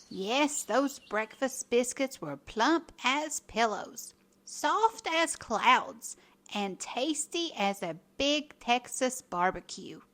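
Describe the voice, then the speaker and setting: Lady reading a kids story, highish voice that swoops around, really expressive. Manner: expressive, dramatic emphasis. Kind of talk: scripted children's story read-aloud.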